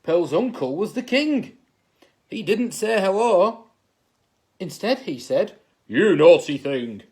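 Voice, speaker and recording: A man reading a children's story aloud as a teacher would, in expressive narration. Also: high voice, slow pace. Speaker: assistant head teacher reading a bedtime story.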